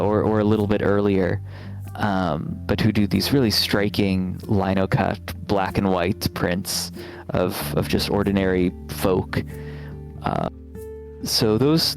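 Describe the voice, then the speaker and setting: A man who works as a game developer, giving casual interview answers in a thoughtful, halting way. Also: on the low side, vocal fry. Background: background music.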